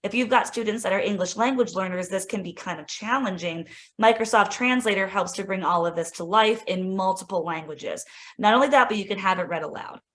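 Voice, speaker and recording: A woman's voice: medium pitch, brisk, a bit bright. A woman instructional coach giving a casual, enthusiastic screen-share tutorial for teachers, peppering her talk with "like" and "right?". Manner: chatty, lots of "like" and "right?". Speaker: instructional coach presenting a tech demo.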